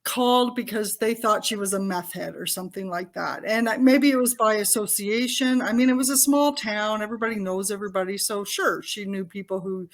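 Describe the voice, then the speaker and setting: An older Canadian woman, raised speaking Italian, chats as an interview guest in a voice of ordinary, middle pitch. She talks freely and loosely, restarting her sentences as she goes. It sounds like a video call. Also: steady tone, brisk, few pauses. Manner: chatty, laughs often, frequent restarts. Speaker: author and podcast guest. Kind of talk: casual interview chat.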